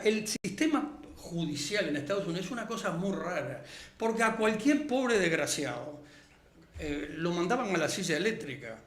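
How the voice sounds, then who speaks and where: A man's voice: high voice, fairly bright tone. A man with a Uruguayan accent talking politics in an opinionated, emphatic way, often checking in with short tag questions. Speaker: interview guest, political activist. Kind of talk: animated political discussion. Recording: roomy, echoing sound.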